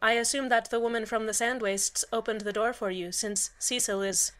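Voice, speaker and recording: A woman's voice: on the high side, steady tone, normal speed, a bit bright, natural voice. A woman playing a falsely cheerful, menacing community radio host in a scripted audio drama, soothing, condescending and polite. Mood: pleasant, polite. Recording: music bed under the voice.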